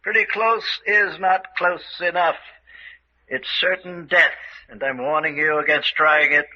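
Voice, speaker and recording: A man's voice: on the high side, steady tone, unhurried, sharp tone. A man acting an older, genteel villain who rules a castle, smug and coolly menacing, taunting with dry chuckles in an old-time radio mystery style. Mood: taunting menace. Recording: dull, lo-fi radio drama recording.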